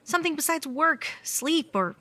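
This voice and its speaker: Woman acting lively, teasing young waitress. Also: sits high, normal speed. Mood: earnest. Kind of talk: comedic radio play acting.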